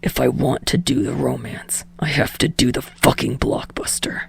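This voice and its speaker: A woman growling as a gravelly, brooding young man. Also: moderate pace, in a whisper, full character voice. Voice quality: low, hushed and gravelly. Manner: growls and curses through clenched teeth. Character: gruff, brooding young male actor, sweary. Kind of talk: podfic reading.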